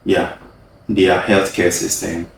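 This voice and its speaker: A man's voice: sits low, little pitch movement, slow, vocal fry, heavy reverb, far from the mic, slight background noise, poor audio. A man from Ghana, a guest in a casual interview, talking earnestly and repeating words for emphasis. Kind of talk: casual conversation.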